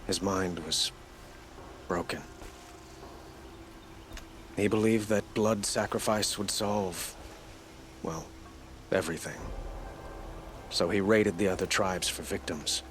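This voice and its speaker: A man playing an earnest, troubled young king in a video game, speaking in a measured, courtly, formal way. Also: low-pitched, fairly even intonation, fairly bright tone, slight background noise. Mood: solemn. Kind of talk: video game cutscene acting.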